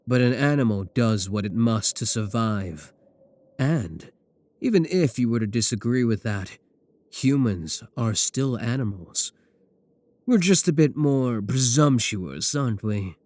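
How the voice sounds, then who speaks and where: A man playing a possessive werewolf professor in an intimate ASMR roleplay, speaking deliberately with coolly affectionate menace. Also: medium pitch, expressive, dry recording, close to an everyday voice. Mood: cold, confessional. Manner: slow, deliberate, soft chuckles, pet names. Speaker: voice actor in a roleplay audio.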